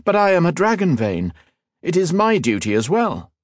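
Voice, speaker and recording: A formal, thoughtful elf noble, voiced by a man reading an audiobook. The pitch sits raised and moves lively, with a measured, courtly manner. Dry, close recording. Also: dry recording. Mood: earnest.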